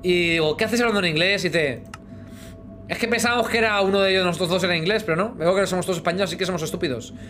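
A man's voice: sits high, rapid-fire, a bit bright, soft background music. A man in his thirties with a Spanish accent from Spain, chatting and joking with viewers on a live game stream, humming sound effects while he plays, on a headset in a small room. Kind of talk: casual live gaming chat.

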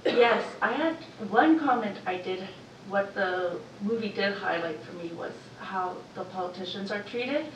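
A woman from Canada speaking up from the audience at a panel discussion, with a loud, lively, talkative delivery. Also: mid-range voice, measured pace, a little hiss or hum. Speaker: audience member. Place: university lecture room. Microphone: room mic from a distance. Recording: echoey hall from far off.

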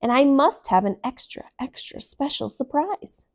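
A gushing, boastful lady elephant from a storybook, voiced by a woman reading aloud. She piles up superlatives in a high, loud, bouncy voice. Everything comes out as an exclamation. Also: expressive, measured pace. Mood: exuberant. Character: boastful, excitable female elephant.

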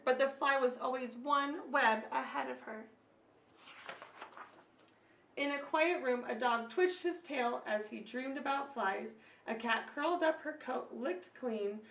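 Woman, thirties, children's storyteller, bright clear-cut tone, expressive read-aloud, echoey home room. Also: high-pitched. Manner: expressive, addressing children with questions and asides. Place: small room at home, indoors.

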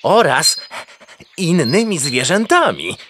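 A dopey, wisecracking farm dog from a children's audio play. He talks slowly with wildly animated pitch, over clearly audible birdsong and noise. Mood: wry. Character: blunt, wisecracking, slow-witted farmyard dog. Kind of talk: children's radio play acting.

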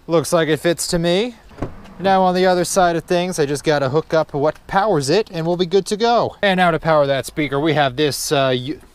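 A man's voice: fairly high pitch, brisk, a little hiss or hum. A man giving casual, hands-on vlog commentary with dry, sarcastic humour and the odd swear word. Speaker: vlogger doing a car installation. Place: in and around a parked car in a driveway.

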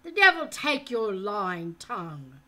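A woman voicing a menacing grown man with a harsh, hollow voice, reading him slowly and loudly in a storybook. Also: mid-range voice, animated. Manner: slow, loud, threatening.

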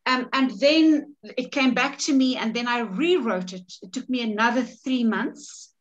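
A woman's voice: fairly high pitch, measured pace, muffled recording. South African woman, chatty author. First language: Afrikaans. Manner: chatty, many restarts and repeated words. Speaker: author leading a writing workshop. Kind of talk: casual workshop talk on a video call. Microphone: video call webcam.

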